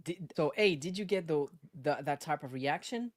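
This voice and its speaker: A man's voice: on the high side, animated, normal speed, dry recording. Man, live show host, casual interviewing, enthusiastic, emphatic repetition, dry recording. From born in North Africa, grew up in France. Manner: enthusiastic, repeats phrases for emphasis. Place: live online stream.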